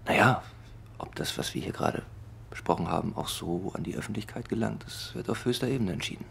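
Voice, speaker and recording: An actor playing a courteous, probing German magazine reporter in a radio drama, speaking hesitantly and tactfully. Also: male voice, on the low side, a little fast, creaky, fairly bright tone, slight background noise. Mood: tactful, guarded. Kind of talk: naturalistic radio drama acting.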